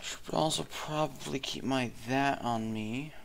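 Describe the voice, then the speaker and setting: A man's voice: mid-range voice, a little slow, vocal fry. Chatty young man gaming. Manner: chatty, pleads with in-game mobs. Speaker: gaming channel co-host. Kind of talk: casual gameplay commentary.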